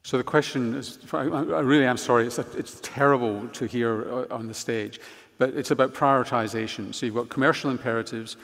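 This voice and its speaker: Gravelly man moderating in a large hall. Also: medium pitch, fairly even intonation, a little fast, fairly bright tone. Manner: apologetic, restating audience questions. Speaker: panel moderator. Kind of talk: moderating a panel discussion. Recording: reverberant hall.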